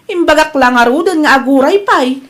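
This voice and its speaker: Fretful, exasperated businesswoman and wife , woman actor, Ilocano accent, notably high voice, amateur drama style. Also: lively intonation, normal speed, a bit bright. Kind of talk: amateur audio drama acting.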